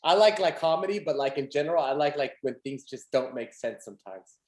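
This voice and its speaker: A young man hosting a casual podcast, talking animatedly with plenty of fillers and quick laughs. His voice sits a little high. The room adds a noticeable echo. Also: expressive, a little mellow. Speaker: podcast host. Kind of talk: casual chat. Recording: echoey room.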